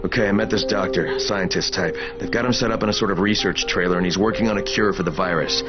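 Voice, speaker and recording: An actor playing a tough, wary undercover agent in a video game, talking in a casual, matter-of-fact way. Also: male voice, sits low, steady tone, quick pace, natural voice. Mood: businesslike. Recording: dull game audio under loud music.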